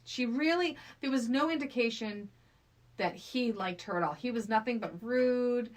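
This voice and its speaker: A woman's voice: a little high, normal speed. A middle-aged woman co-hosting a casual discussion about a film.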